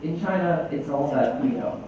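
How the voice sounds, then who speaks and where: A man's voice: a little high, fairly quick, lo-fi sound. A young man from New Jersey giving a personal talk, telling stories and switching between English and Mandarin. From New Jersey, United States. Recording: very echoey hall, distant mic, noisy.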